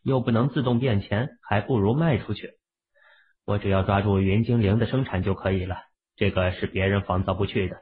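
A man's voice: lowish voice, a little fast, lightly acted. A man playing a self-assured, teasing young husband with a casual, bantering delivery in an audiobook. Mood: matter-of-fact, smug. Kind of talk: acted audiobook dialogue. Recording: phone-like, band-limited audio.

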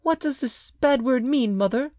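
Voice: sits high, moderate pace, a little mellow, dry recording, clean studio sound, voice pushed up, close to an everyday voice. An audiobook narrator voicing a plaintive boy of about nine in a storybook tale. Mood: hurt, puzzled.

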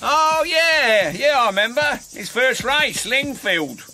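An actor playing a cocky, boastful jockey in a radio drama, brash and slangy. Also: male voice, extremely high pitch, lively intonation, takes it slowly, pushed and bright, slight background noise, exaggerated voice.

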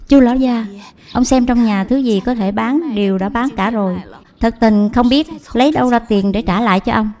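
A woman voicing a gentle, devoted young wife in a cartoon dub, speaking in a tender, pleading, deferential way. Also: a little high, moderate pace, lightly acted. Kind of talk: animated film dubbing.

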